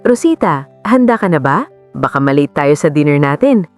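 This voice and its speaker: A patient, devoted male suitor, acted by a woman in a romantic audio story. He speaks gently and sincerely over background music. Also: low voice, sing-song, normal speed, voice pushed down. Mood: gentle.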